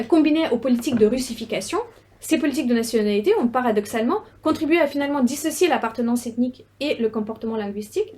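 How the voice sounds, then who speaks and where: A young adult woman researcher giving an academic seminar talk, measured and often pausing on fillers and repeated words. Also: fairly high pitch, warm tone.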